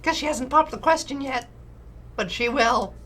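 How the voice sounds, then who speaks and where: A woman's voice: high voice, moderate pace, bright, forceful tone, slight background noise. A woman voicing a breezy, teasing young woman friend from a dating sim visual novel. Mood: teasing, nosy.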